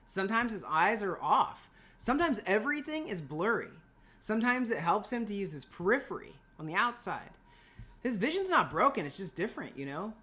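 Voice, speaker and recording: Animated, chatty woman teacher. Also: medium pitch, brisk. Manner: chatty, playful, emphatic asides. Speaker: middle school language and literature teacher. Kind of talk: informal scripted video lesson.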